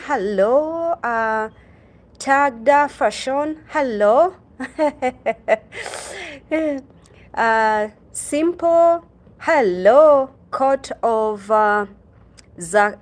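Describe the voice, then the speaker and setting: A middle-aged woman from Kenya, hosting a livestream and telling stories slowly, with many ums and uhs and greetings to viewers by name. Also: on the high side. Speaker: livestream host. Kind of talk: casual livestream storytelling.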